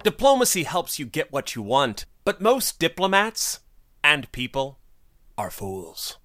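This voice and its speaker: A smug, cunning court official. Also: male voice, on the high side, huge pitch swings, fairly bright tone, full character voice. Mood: smug, disdainful. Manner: smug, deliberate. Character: vain, cunning, self-assured male court official. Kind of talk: audio drama acting.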